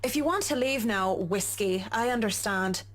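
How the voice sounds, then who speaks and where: A woman's voice: mid-range voice, normal speed, lightly acted. An actress playing a tipsy, guarded young woman in a visual novel game. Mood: firm, guarded. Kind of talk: game voice acting.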